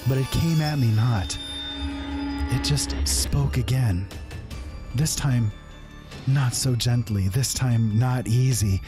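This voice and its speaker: A man narrating a dark fantasy horror story in an earnest, dramatic way. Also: medium pitch, slow pace, long pauses, music in the background.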